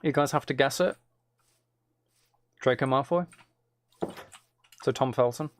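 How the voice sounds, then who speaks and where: A man's voice: mid-range voice, a little slow. A man giving casual gameplay commentary, thinking aloud in short, muttered phrases as he plays. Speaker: streamer playing a video game.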